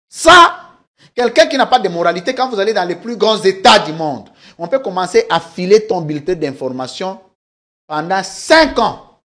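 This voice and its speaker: A man debating on political talk TV, insistent and emphatic. His pitch swings widely, rising hard to drive points home and dropping low, and he sits a little high for a man. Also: sharp tone. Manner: insistent, emphatic, talks over the host. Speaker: political guest.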